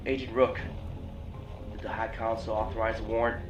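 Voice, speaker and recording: An actor playing a steady, determined security agent, businesslike and dryly pointed, in a science fiction audio drama. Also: male voice, fairly low pitch, moderate pace, recorded from a distance, muffled recording, lightly acted. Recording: roomy echo, music underneath.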